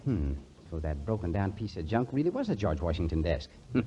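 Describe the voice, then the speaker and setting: An actor playing a flustered, covetous, muttering secondhand dealer in a 1940s radio comedy. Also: male voice, low voice, big pitch swings, fairly quick, warm tone. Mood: flustered, calculating. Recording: muffled, noisy 1940s radio recording.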